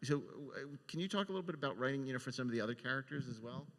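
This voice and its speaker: A man's voice: medium pitch, animated, very fast, fairly bright tone. A man hosting a panel casually, with wordy, meandering questions. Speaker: panel host. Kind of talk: casual moderating. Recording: reverberant hall.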